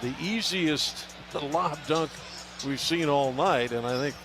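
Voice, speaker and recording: A man's voice: a little high, slow pace, over music. An American man working as a radio announcer, giving live basketball play-by-play in short, clipped phrases. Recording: loud arena noise behind. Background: arena ambience, bouncing basketball.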